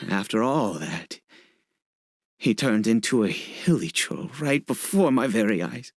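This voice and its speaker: An actor voicing a grieving, centuries-old exiled nobleman in a video game, with a halting, brooding, theatrical delivery and an unsteady voice. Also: lowish voice, expressive, no room sound, clean studio sound. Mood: sorrowful, ashamed. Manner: halting, brooding, theatrical, prone to sudden agitation. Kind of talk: dramatic video game voice acting.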